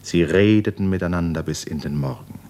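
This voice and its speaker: Narrator for a literary radio play: man, very low pitch, solemn and measured. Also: darkish tone.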